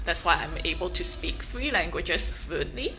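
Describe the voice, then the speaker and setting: A young woman from Hong Kong, a native Cantonese speaker, introduces herself on a casual livestream, slowly and with many ums and restarts. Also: on the low side, big pitch swings, pushed and bright, low-quality recording. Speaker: pageant contestant.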